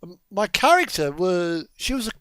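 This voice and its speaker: A man with a high voice and very animated intonation talks casually about gaming. He rambles along in a self-amused manner. The sound is dry and close, with no room around it. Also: moderate pace, clear, resonant tone, no echo. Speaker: video game streamer.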